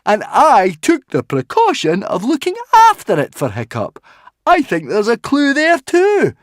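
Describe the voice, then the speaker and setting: Earnest, high-pitched Viking boy, played by a narrator. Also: huge pitch swings, a little slow, no echo, clean studio sound. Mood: insistent. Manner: insistent, argumentative. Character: earnest, hot-headed, loyal Viking boy.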